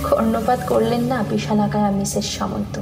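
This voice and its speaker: A woman narrating a horror audio story in an expressive, suspenseful way, sometimes dropping to a whisper. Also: on the high side, a little fast, creaky. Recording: echoey reverberant studio mix with music.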